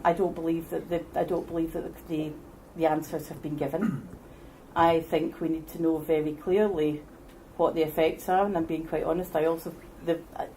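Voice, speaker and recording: A woman with a fairly low voice speaks at a public debate, halting and hesitant, often restarting her sentences as she searches for words. A faint hiss of noise sits under her voice. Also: darkish tone, poor audio. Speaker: debate speaker against independence.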